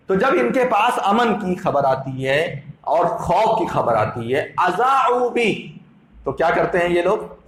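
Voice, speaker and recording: A man's voice: fairly high pitch, a bit bright, a little hiss or hum. A man preaching an Islamic sermon, emphatic and didactic, repeating phrases for effect. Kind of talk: Islamic sermon with Quran quotations. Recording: echoey room sound.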